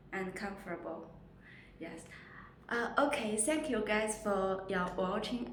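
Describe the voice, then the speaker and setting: A woman hosting a casual product unboxing, speaking in simple, slightly halting non-native English phrasing. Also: mid-range voice. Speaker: host of a product unboxing channel. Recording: echoey room with faint background noise.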